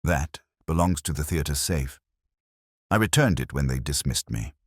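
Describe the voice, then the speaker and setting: A man as a deep-voiced, defensive suspect. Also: animated, unhurried, slightly rough, dry recording, studio quality, heavily acted. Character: disgraced male actor under suspicion, defensive and uneasy.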